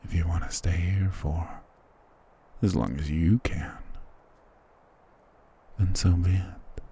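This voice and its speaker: A man's voice: deep voice, steady tone, slow pace, creaky, dark tone, a little hiss or hum, exaggerated voice. A man playing a dominant, doting vampire lord in an intimate ASMR roleplay, speaking tenderly and possessively with formal endearments. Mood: tender, seductive.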